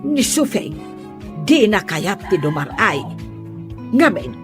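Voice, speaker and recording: Casting: prying female gossip played by a woman, swooping mid-range voice, clear music underneath. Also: medium pitch, very animated, takes it slowly. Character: nosy gossiping female villager. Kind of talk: Ilocano comedy drama dialogue.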